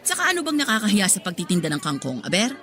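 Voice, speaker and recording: A woman's voice: mid-range voice, huge pitch swings, fast talker, sharp tone, soft background music. A woman playing a hard-working, exasperated mother who sells vegetables, pleading and scolding in a Tagalog radio drama.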